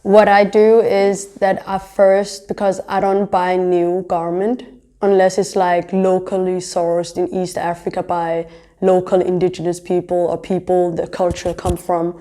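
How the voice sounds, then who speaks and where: A woman's voice: lowish voice, level tone, unhurried, dark tone. A young woman in her twenties, born in Somalia and raised in Denmark, answering interview questions about her design work and studies in a chatty way, with many fillers. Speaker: fashion designer and pharmacy student.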